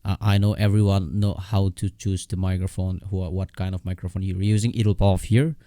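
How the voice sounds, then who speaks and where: Deep, mellow man giving a halting tutorial. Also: flat delivery, no room sound, clean studio sound. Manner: halting, repetitive, with frequent check-in words. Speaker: software tutorial host. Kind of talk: casual unscripted screen tutorial.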